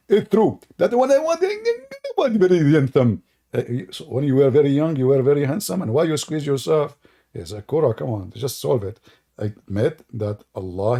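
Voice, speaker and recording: A man's voice: medium pitch, gravelly, warm tone, breathy edge. A man whose first language is Arabic, hosting sarcastic religious commentary in a mocking, theatrical way. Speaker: host.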